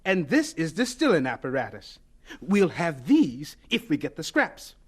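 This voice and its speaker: Eager, devout male professor for radio drama: high voice, swooping intonation, encouraging and chuckly. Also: huge pitch swings, measured pace. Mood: eager, persuasive. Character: warm, eager, devout male college professor urging his class on.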